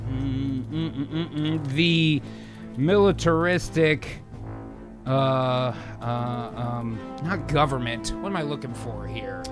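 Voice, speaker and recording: A man hosting a casual live stream, brainstorming out loud in a halting way, trailing off into hums as he mulls over words. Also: mid-range voice, over music. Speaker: host of a live streamed improv show. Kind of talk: casual brainstorming, thinking aloud.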